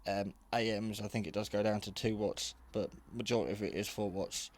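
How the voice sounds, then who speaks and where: A man with a fairly low voice talks through a casual product review. He is unscripted and rambles a little, staying matter-of-fact and modest about his own know-how. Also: fairly even intonation, fairly quick. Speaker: hobby radio reviewer.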